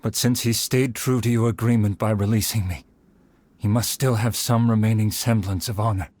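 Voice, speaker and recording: A man's voice: lowish voice, steady tone, a little mellow, lightly acted. A man playing an earnest, honorable samurai swordsman in a video game, formal and composed. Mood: stern, resolute. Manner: formal, composed, measured wording. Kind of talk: dramatic video game voice acting.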